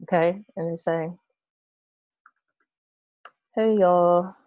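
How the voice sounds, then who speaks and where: A woman in her thirties, hoarse from losing her voice, casually explaining an online tutorial with many fillers and restarts. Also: lowish voice, steady tone, very slow, mellow, dry recording, dull audio. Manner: rambling, hesitant, many fillers. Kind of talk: casual screen-share tutorial. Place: small room with red curtains, indoors.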